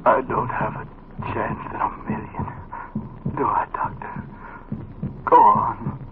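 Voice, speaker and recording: Frightened dying patient, halting, breathy. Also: male voice, lowish voice, steady tone. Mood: fearful, pleading. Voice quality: breathy, half-whispered. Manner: halting, fearful. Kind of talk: 1940s radio acting. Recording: noisy, tinny 1940s radio recording.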